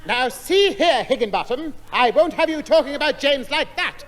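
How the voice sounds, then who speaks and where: A man playing a timid, fussy, well-meaning gentleman who hesitates and stammers, in a 1960s radio comedy. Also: very high voice, lively intonation, normal speed. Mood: flustered. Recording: hissy old radio broadcast.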